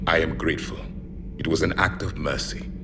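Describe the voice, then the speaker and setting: An actor playing a proud ancient alien warrior in a video game, speaking formally, deliberately and with deadpan bluntness. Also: male voice, a bass voice. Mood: solemn. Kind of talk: video game character dialogue. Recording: clear ambient background noise.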